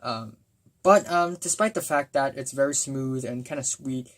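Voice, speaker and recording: A young man with a voice gone raspy from talking, giving a casual, excitable album review full of fillers and bursts of enthusiasm. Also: mid-range voice, normal speed. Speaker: music reviewer.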